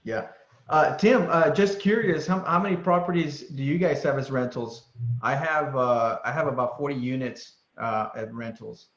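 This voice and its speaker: A man speaks from a roomy, echoing space over a muffled call. He chats casually about business, haltingly and with repeated words. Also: medium pitch, a bit bright. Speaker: conference call participant, real estate investor. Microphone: conference call connection. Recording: echoey, dull conference-call audio.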